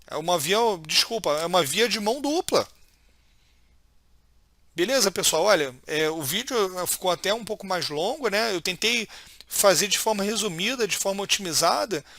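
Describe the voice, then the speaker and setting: A man's voice: high voice, lively intonation, moderate pace, bright, forceful tone, no room sound. A man, hosting a health video and talking casually and earnestly to viewers, with many fillers and restarts. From Brazil. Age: 30s.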